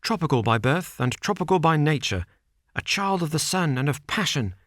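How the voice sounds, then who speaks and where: A man puts on a jittery, frightened estate manager, pitched well above his usual low voice and pushed louder. He spits out short, breathless sentences as if he has no time. The recording is close and dry. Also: expressive, normal speed, dry recording. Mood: agitated. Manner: gasping short agitated sentences.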